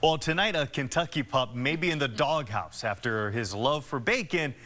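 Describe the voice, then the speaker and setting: A young man reporting the news on local television, with a crisp, measured newscast delivery. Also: mid-range voice, animated, noisy background.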